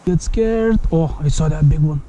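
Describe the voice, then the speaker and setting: A man vlogging his fishing outdoors from a head-mounted camera, speaking non-native English, calm with bursts of excitement. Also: on the high side, normal speed. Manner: talks to viewers, calm, then bursts of excited exclamations. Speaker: angler filming his fishing trip. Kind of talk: casual outdoor fishing vlog. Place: outdoors on a canal towpath. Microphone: head-mounted action camera.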